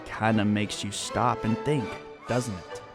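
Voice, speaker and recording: A man's voice: fairly low pitch, steady tone, measured pace, vocal fry, music in the background. A man playing a gossipy, folksy small-town local in a radio drama, chatting colloquially with amusement. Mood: amused, gossipy.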